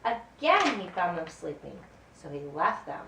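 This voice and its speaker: A woman reading a scripted Bible story as narrator of a puppet show, in a deliberate, expressive way. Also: lowish voice, gravelly. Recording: roomy, distant mic, faint background noise.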